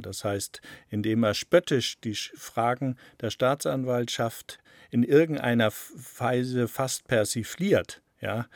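A man giving explanatory interview answers in a deliberate, halting way, searching for exact words. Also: mid-range voice, no echo, studio quality. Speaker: interviewed radio drama author.

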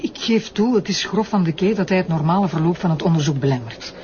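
A woman's voice: lowish voice, lively intonation, fast talker, warm tone, a little hiss or hum, close to an everyday voice. Curt Flemish woman prosecutor played by an actress. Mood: annoyed. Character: curt, no-nonsense female deputy prosecutor. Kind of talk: radio drama acting. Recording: dull lo-fi radio drama.

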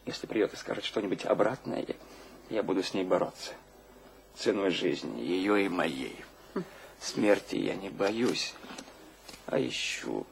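An actor playing an anguished, lovestruck young poet in a radio drama, brooding and theatrical. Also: male voice, sits low, expressive, unhurried, gravelly, long pauses, slight background noise, heavily acted. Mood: desperate resolve. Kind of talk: stage-trained radio drama acting.

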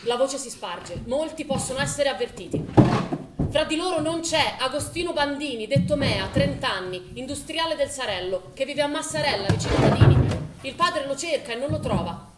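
A woman's voice: high-pitched, lively intonation, moderate pace, loud music behind the voice. A woman, a stage actor, giving dramatic scripted narration in a theatrical storytelling manner. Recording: echoey theatre recording with clear noise.

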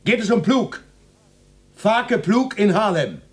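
Radio drama witness, agitated and probing; high-pitched, animated, insistent. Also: male voice, takes it slowly.

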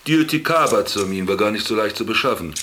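A man's voice: fairly low pitch, expressive, brisk, sharp tone. An actor playing a wry, cynical stranded businessman in a radio drama, ironic and patronizing as he talks. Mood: ironic, weary. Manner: ironic, patronizing, addresses the other formally. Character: Philipp, stranded ex-businessman, wry, garrulous, cynical camp companion.

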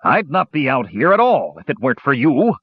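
A man voicing a grumpy old male dwarf in an audiobook, gruff and quick to curse. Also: medium pitch, huge pitch swings, dry recording, dull audio, pitched above the performer's own voice. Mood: furious. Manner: gruff and grumbling.

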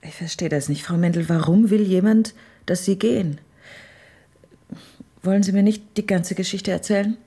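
A woman's voice: mid-range voice, moderate pace, long pauses, dark tone, lightly acted. An actress playing an inquisitive, resourceful woman in a radio drama, in a natural conversational manner. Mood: curious. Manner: questioning, conversational. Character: inquisitive, resourceful woman, friendly companion to an old lady.

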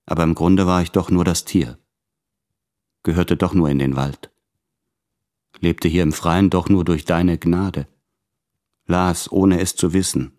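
An actor playing a tormented, tender male letter writer in a literary radio play, anxious and introspective, speaking in measured phrases with deliberate pauses. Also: low voice, fairly even intonation, darkish tone. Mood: confessional, wistful.

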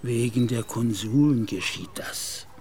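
A man's voice: medium pitch, slow pace, a little mellow, lightly acted. A man playing a fearful, whispering gendarme. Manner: fearful, pleading, often whispering. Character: anxious Turkish gendarme officer, middle-aged man. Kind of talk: radio play acting. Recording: radio play with clear background noise.

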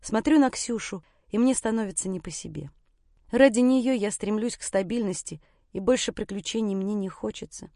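A woman's voice: medium pitch, moderate pace, mellow, no room sound, clean studio sound. A woman reading a scripted first-person story as an audiobook narrator.

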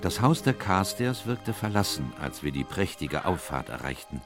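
Victorian gentleman narrator, low voice, composed, scripted radio drama, music behind. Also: sing-song, normal speed. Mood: composed, reflective. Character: composed Victorian gentleman doctor recounting a detective case.

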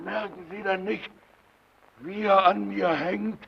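An actor playing a possessive, brooding lighthouse man in an old radio drama, halting and insistent, repeating his phrases. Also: high voice, steady tone, creaky, crowd noise, full character voice. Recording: dull vintage radio play recording.

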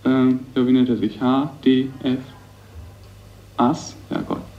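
A man's voice: medium pitch, flat delivery, slow, narrow, tinny audio, slight background noise. A man being interviewed, casually and hesitantly trying to recall something, unsure of himself. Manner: unsure, searching.

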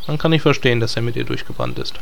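Casting: male actor as dry-witted, sensible hero, everyday medium pitch, comic fantasy radio play. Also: wildly swooping pitch, very fast, creaky, a little hiss or hum. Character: wry, reasonable male adventurer. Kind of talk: parody fantasy audio drama.

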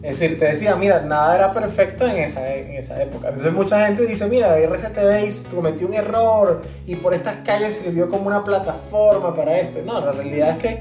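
A woman's voice: lowish voice, a little fast, few pauses, reverberant, music in the background. A woman with a Venezuelan accent chatting casually as a podcast co-host, explaining things through examples.

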